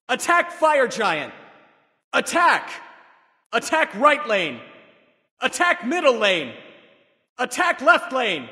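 High-pitched, proud warrior god. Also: male voice, bright, forceful tone, big-room sound, full character voice. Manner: crisp, declarative, theatrical commands. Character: proud, commanding warrior sage god with taunting confidence. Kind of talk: video game voice pack callouts.

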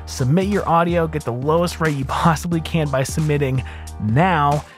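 A man's voice: on the high side, moderate pace, soft background music. A man in his thirties giving a casual, conversational audio mixing tutorial as host, speaking into a close studio microphone. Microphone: close broadcast mic on arm.

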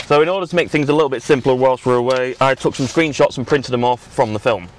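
A man's voice: mid-range voice, fast talker. British man chatting over car noise. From United Kingdom. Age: young adult. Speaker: vlogger driving on a road trip. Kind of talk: casual vlog chat. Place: inside a moving or parked car. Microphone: dashboard action camera.

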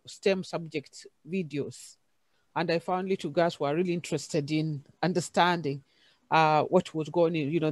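A woman from Kenya with a Kenyan accent, speaking haltingly with many fillers as a panelist on a video call. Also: sits low, a little mellow, dry recording. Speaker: conference panelist, university lecturer. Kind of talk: unscripted panel discussion. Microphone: video call, camera off.

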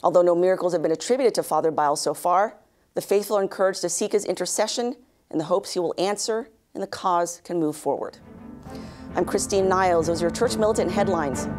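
A woman in her thirties anchoring a news bulletin, reading a script with measured, even delivery in a studio. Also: on the low side, soft background music. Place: studio news set, indoors.

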